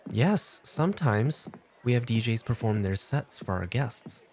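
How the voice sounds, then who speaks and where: A man playing a cool, unhurried club host in an ASMR roleplay, speaking with firm, dry, measured teasing. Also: mid-range voice, lively intonation, darkish tone. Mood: instructive.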